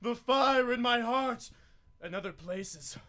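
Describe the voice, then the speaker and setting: A man's voice: very high voice, expressive, slow pace, fairly bright tone, heavily acted. A young man playing an indignant betrayed husband and factory owner, overwrought in a campy radio soap style. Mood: wounded, indignant. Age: young adult. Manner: overwrought, dramatic. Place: small radio studio.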